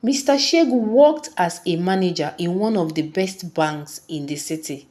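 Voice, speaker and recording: A woman narrating a scripted folktale in a deliberate, dramatic storytelling manner. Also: lowish voice, animated, warm tone.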